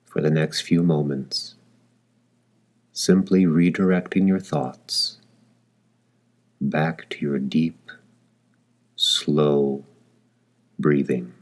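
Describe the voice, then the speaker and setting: Very deep-voiced man guiding meditation slowly. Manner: gentle, calm, deliberate phrasing with long pauses. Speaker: guided meditation narrator. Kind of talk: guided meditation, slow soothing scripted narration.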